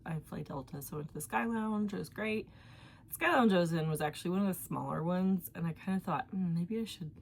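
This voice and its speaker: A young woman hosting a knitting podcast from her home, chatting casually in a rambling way with fillers and a somewhat congested voice. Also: medium pitch. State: congested from allergies. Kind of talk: casual chat.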